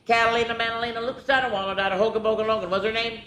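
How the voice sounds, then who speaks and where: A woman's voice: flat delivery, very fast, fairly bright tone. Echoey woman reading children's rhymes aloud. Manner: chatty, exclamatory, drawing out rhymed words. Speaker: children's story time host. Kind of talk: animated picture-book read-aloud with sing-song rhymes and casual asides. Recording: echoey room with background rumble noise.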